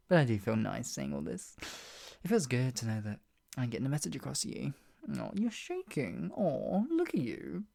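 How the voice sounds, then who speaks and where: An actor playing a sadistic, gloating yandere in an ASMR roleplay, with a breathy, husky voice that often drops to a whisper. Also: mid-range voice, darkish tone, exaggerated voice. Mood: mocking glee. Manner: menacing, slow deliberate phrasing with sighs. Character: sadistic, gloating, murderous yandere obsessive taunting a captive. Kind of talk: improvised ASMR roleplay, intimate monologue.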